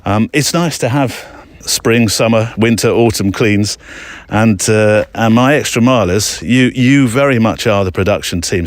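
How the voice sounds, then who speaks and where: A man's voice: lowish voice, no room sound. A man hosting a podcast, speaking casually in a chatty, meandering way with hesitant asides. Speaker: podcast host. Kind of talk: casual spoken show outro.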